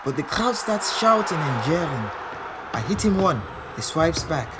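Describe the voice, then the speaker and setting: Proud boxer over loud background noise. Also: male voice, fairly high pitch, sing-song, a little slow. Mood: intense. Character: proud, combative male boxer recounting his title fight. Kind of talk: first-person present-tense drama narration.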